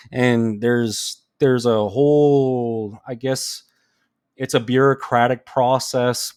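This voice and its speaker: A man giving casual, off-the-cuff commentary as a host, in a loose, halting way with frequent check-ins. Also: on the low side, a little mellow, no echo, clean studio sound. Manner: loose, halting, checks in with "all right?" and "okay?". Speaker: host of a stock analysis channel.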